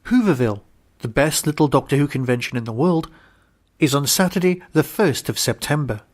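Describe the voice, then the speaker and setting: A man's voice: on the high side, animated, fairly quick, dry recording, studio quality. A man hosting a podcast, reading out a scripted audio drama review.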